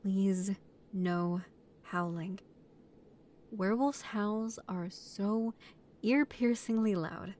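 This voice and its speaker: Woman acting a young vampire housemate, slow pace, halting delivery, matter-of-fact, bossy but considerate, audio roleplay. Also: medium pitch, fairly even intonation, darkish tone. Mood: matter-of-fact, polite.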